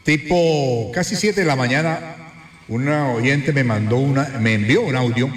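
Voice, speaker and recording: A man hosting a morning radio show, chatting and giving listeners advice in a playful, animated way. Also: medium pitch, unhurried, reverberant. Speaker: radio host.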